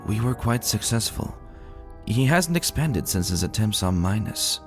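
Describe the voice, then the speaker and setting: Male reader as sad pony queen and aunt in fantasy audio story: soft-spoken, measured, regal, grieving. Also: lowish voice, sing-song, faint music behind. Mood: gently firm. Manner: hushed, solemn, measured. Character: gentle, sorrowful female royal pony, loving older aunt. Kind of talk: dramatic fan fiction reading.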